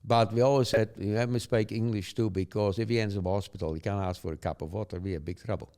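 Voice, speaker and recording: A man's voice: lowish voice, steady tone, quick pace, darkish tone. An older man from the Netherlands with a Dutch accent, telling stories in casual chat, chuckling often and rambling with tag questions. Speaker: guest.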